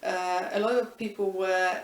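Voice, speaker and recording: A middle-aged woman, thoughtfully answering interview questions with many pauses and restarts. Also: medium pitch, fairly even intonation. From Estonia. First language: Estonian. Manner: thoughtful, frequent hesitations and restarts. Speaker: interviewee, linguistics professor. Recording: echoey room, distant mic, faint noise.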